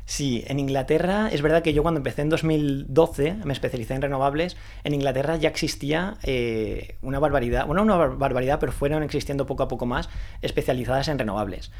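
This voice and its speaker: Fast-talking man, guest founder. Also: mid-range voice, darkish tone. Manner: fast talker with many restarts and fillers. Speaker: founder of a renewable energy recruitment consultancy. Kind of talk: casual interview answers.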